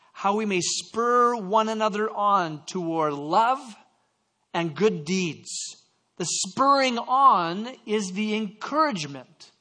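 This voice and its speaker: A man from Canada delivers a sermon in a fairly high voice. He slips into personal stories with self-deprecating asides and stumbles through quick restarts. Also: pushed and bright. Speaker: pastor.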